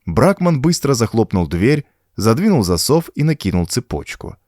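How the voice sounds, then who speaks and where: A man narrating a horror story as an audiobook, in an expressive, suspenseful storytelling manner. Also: mid-range voice, moderate pace, dry recording, clean studio sound.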